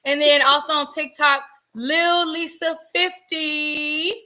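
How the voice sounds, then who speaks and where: High-pitched woman, host, bright and forceful tone, animated chatty delivery. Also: slow pace, slight background noise. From Florida. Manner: chatty and animated, addresses viewers as y'all. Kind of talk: casual livestream chat.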